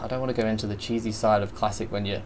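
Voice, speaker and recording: A man's voice: fairly even intonation, rapid-fire, a little hiss or hum. Upbeat English guy with Aussie twang. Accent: English with an Australian twang. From England. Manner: upbeat, laughs often, breaks into high laughs. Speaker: podcast guest, content creator. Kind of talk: casual chat.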